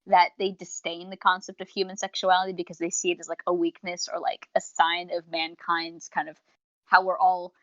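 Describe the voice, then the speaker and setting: A woman's voice: lowish voice, normal speed, no room sound. A talkative young woman co-hosting a casual fan discussion, rambling along and acting out quotes as she goes. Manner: talkative, rambling, animated retelling with acted-out quotes. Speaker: podcast co-host and reviewer. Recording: dull lo-fi voice recording.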